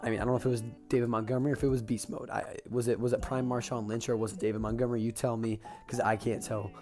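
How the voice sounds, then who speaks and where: A young man with a raspy voice, getting over an illness, excitedly recapping a football game as a podcast host, with casual fillers. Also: medium pitch, racing, soft background music. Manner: excited fan talk with fillers.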